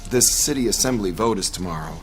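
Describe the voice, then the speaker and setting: An actor playing a smooth-talking, soft-spoken male colleague in an audio drama, forward with women and halting when flustered. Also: lowish voice, expressive, lightly acted. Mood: sheepish, apologetic. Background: music.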